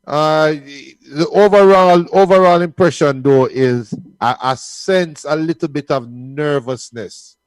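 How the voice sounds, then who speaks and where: A man's voice: fairly high pitch, takes it slowly, few pauses, smooth, dry recording, low-quality recording. Dry-sounding male football pundit. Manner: repeats phrase openings as he makes points. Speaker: co-commentator. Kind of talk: casual match analysis.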